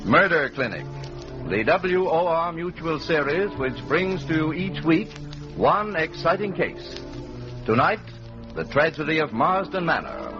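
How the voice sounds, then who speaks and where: A man announcing a radio series from a script in 1940s broadcast style. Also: a little high. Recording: dull 1940s radio recording. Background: music.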